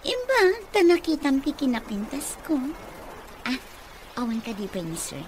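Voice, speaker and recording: A woman's voice: sits high, huge pitch swings, moderate pace. A woman acting a vain, dreamy, easily offended young office worker in a radio comedy drama, with an Ilocano accent. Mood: haughty. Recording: clear background noise.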